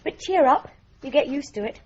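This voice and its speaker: Prim, cheerful secretary; high, bouncy voice, lo-fi radio sound with faint hiss. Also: female voice, animated, moderate pace, darkish tone. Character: prim female office secretary. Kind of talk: radio drama acting. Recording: dull lo-fi radio play recording.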